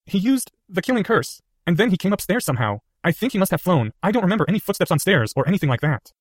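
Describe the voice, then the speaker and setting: Man doing a boy wizard, raised pitch, fast, earnest, dry sound. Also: very animated, no room sound. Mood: shaken.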